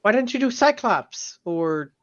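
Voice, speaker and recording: A man's voice: high-pitched, big pitch swings, unhurried. A man co-hosting a casual podcast, bantering playfully, recorded on a dull lo-fi mic. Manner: playful, joking. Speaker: podcast co-host.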